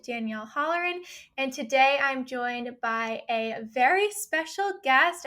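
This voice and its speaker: A woman's voice: sits high, bright, forceful tone. A young adult woman hosting a podcast interview, upbeat and chatty, with lots of "like" and "kind of" fillers. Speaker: podcast host. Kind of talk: casual interview chat.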